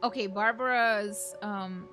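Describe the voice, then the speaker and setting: A woman's voice: medium pitch, fairly even intonation, slow pace, bright, forceful tone. A woman game streamer giving casual let's play commentary. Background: game music.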